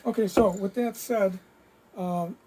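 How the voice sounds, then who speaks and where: A man's voice: high voice, fairly even intonation, a little slow, mellow, a little hiss or hum. Chatty man demoing in a workshop. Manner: chatty, explains step by step. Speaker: hobby modeler demonstrating techniques. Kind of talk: casual hands-on tutorial. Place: basement workshop with paint booth.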